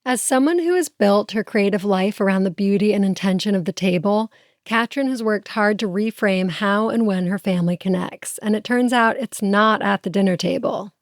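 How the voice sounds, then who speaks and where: An eager woman co-hosts a podcast, welcoming her guest. Her voice sits at a middling pitch and is recorded dry and close. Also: normal speed, no room sound. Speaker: podcast co-host. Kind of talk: casual interview.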